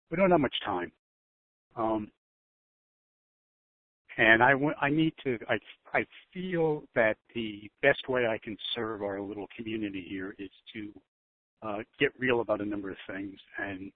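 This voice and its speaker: A man's voice: on the low side, measured pace, long pauses. A man speaking candidly and informally to a group on a conference call, repeating words and leaning on some with emphasis. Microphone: telephone. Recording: narrowband telephone conference line.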